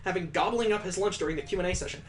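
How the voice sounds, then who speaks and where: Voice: mid-range voice, very fast. A person narrating a visual novel aloud for a let's play, with casual, joking asides. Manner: casual asides and joking remarks between read passages.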